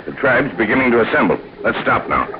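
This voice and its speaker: Man playing steady expedition leader, tinny radio. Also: medium pitch, fast, close to an everyday voice. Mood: calm, instructive. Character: Uncle Jim, steady, commanding adult male expedition leader. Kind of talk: old-time radio serial acting. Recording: noisy, tinny old radio broadcast.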